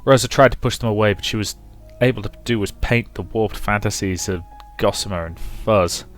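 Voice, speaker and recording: A man's voice: on the low side, moderate pace. Man reading a story aloud over music. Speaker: let's player reading a visual novel aloud. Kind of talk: expressive story narration.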